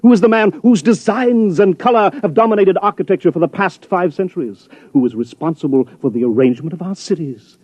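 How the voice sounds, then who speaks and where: A man's voice: sits high, a little fast, hardly any pauses, a little mellow, breathy edge, no room sound. A man playing a grandiose, fussy art scholar who has traveled back from the future, in a 1950s radio drama. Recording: muffled old radio broadcast.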